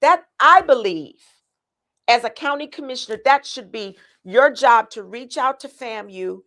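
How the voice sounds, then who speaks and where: A woman's voice: fairly high pitch, animated, measured pace, fairly bright tone. A woman running for county commissioner, earnestly laying out her plans with many ums and restarts. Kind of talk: answering interview questions.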